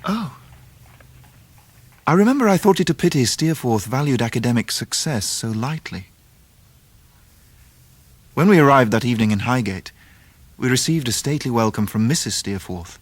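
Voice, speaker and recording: An actor playing a polite, earnest young English gentleman who tells his own story in a radio drama. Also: mid-range voice, lively intonation, moderate pace, pauses often, no echo, close to an everyday voice. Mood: eager, admiring. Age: young adult. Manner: courteous, earnest, well-spoken. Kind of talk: 1990s radio drama acting, with narration.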